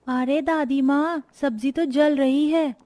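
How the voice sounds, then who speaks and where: A woman's voice: high-pitched, fairly even intonation, slow pace, mellow. A woman voicing a caring, earnest sixteen-year-old granddaughter in a cartoon story, tender and concerned. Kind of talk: Hindi cartoon story voice acting.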